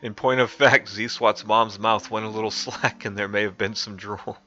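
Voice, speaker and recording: Bright-voiced man giggling through a reading. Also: fairly low pitch, steady tone, moderate pace, highs cut off, faint music behind. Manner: giggling, mock-dramatic. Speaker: streamer. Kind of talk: comic dramatic fanfic reading.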